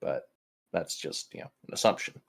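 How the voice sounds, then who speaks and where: A man playing a tabletop roleplaying game, chatting casually and reasoning through the situation with some swearing. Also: moderate pace.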